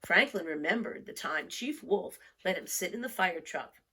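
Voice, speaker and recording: Animated, husky woman reading a storybook. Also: lowish voice. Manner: deliberate, storytelling emphasis. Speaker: storybook reader. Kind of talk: expressive read-aloud for young children.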